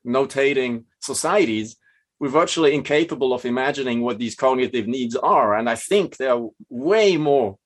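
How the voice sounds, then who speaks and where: A man with a Swiss accent moderating an academic panel's questions, halting with many restarts. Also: medium pitch, expressive.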